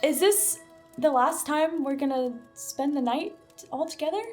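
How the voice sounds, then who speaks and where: A woman playing a tabletop game, bantering in character in a playful, excitable way. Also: sits high, a little slow, faint music behind.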